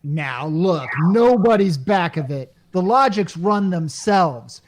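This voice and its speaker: A flustered, earnest company man played by a woman talks slowly in a lowish, lively voice. He is hesitant and defensive, in old radio comedy style.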